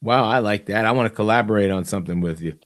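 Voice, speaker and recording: A man with a low voice hosting a relaxed call-in chat. He asks curious, easygoing questions and lets the guest talk. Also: quick pace. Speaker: host. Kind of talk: casual chat on a call-in show.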